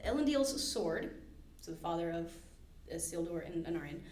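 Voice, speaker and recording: A woman's voice: on the low side, lively intonation, darkish tone. Chatty woman hosting a trivia quiz. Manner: chatty, quick asides, laughs readily. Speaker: library podcast host running trivia. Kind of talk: casual quiz chat. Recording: roomy echo with faint background hiss.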